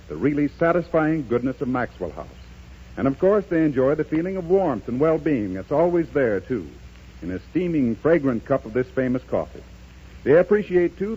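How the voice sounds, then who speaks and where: A male announcer delivers a persuasive 1930s radio commercial. His husky voice moves animatedly up and down, and the old broadcast sounds muffled. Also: on the high side. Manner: persuasive, emphatic salesman delivery. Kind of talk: 1930s radio advertising read.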